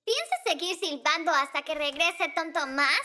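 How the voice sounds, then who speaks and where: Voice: high-pitched, expressive, normal speed, bright, forceful tone, a little hiss or hum, heavily acted. An actor voicing a bossy, sensible young girl creature in a children's cartoon dub, scolding and explaining. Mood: scolding, firm. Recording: music playing behind the voice.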